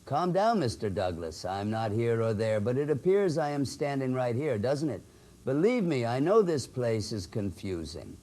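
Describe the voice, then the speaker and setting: A man playing an older gentleman spirit in a horror audio drama, formal, cryptic and theatrically unhurried. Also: mid-range voice, very animated, natural voice. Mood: calm, teasing.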